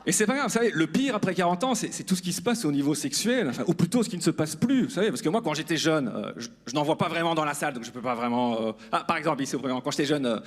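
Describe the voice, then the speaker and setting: A man's voice: fairly high pitch, animated, very fast, hardly any pauses. A man performing stand-up on stage, self-deprecating and speaking directly to the audience. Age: 40s. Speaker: stand-up comedian. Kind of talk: stand-up comedy monologue to an audience. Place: live comedy club stage.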